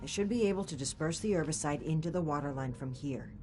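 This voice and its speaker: A woman playing a determined, wry technical expert who gives instructions under fire in a video game, steady and purposeful. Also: low-pitched, fast, background noise. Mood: tense, focused. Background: game music.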